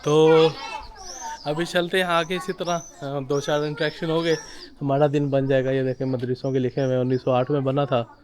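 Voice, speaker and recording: A man's voice: on the high side, little pitch movement, moderate pace, darkish tone, slight background noise. A young man from Pakistan, giving casual, chatty commentary to a handheld camera as he walks through old-town streets outdoors. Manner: chatty and conversational, pointing things out as he walks. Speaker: travel vlogger. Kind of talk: casual walking tour commentary.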